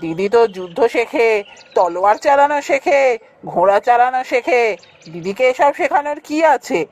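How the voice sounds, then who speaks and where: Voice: mid-range voice, animated, normal speed, darkish tone. Boy prince for a fairytale cartoon: envious, complaining, petulant. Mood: petulant, jealous. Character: jealous, whiny young prince, a boy. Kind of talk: Bengali fairytale cartoon voice acting.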